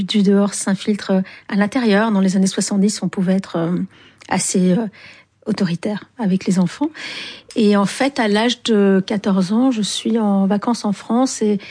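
A woman in her fifties from Algeria, telling her life story in an interview, thoughtful and pausing often with hesitant fillers. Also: medium pitch, warm tone. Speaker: writer, interview guest. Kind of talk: reflective personal storytelling in an interview.

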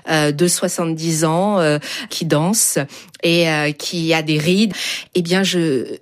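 A middle-aged woman with a slight Persian accent, a dancer speaking thoughtfully in an interview and reading poetry. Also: lowish voice. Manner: slow, thoughtful, with many pauses and fillers. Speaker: dancer and whirling dervish, guest. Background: violin music.

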